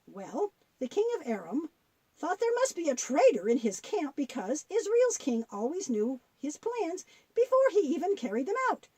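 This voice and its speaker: A woman's voice: high-pitched, sing-song, moderate pace, warm tone, dry recording. A woman playing a kindly, folksy grandmother who patiently tells Bible stories in a children's audio drama. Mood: animated storytelling.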